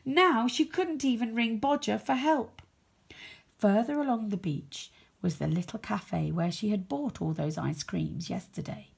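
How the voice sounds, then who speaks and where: A woman reading a children's story aloud as a storyteller, expressive, with dramatic emphasis. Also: mid-range voice, a little slow, vocal fry, husky.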